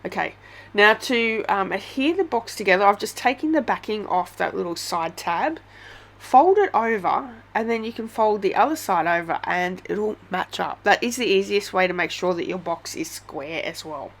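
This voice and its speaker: A woman's voice: medium pitch, lively intonation, normal speed, a bit bright. An Australian woman with a head cold, chatting through a casual step-by-step craft tutorial with many ums.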